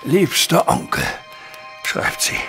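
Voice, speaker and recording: An actor playing a distraught, wealthy older banker in a German audio drama, husky and agitated in his delivery. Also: slow, fairly bright tone, faint music behind. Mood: despairing. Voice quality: husky, raspy.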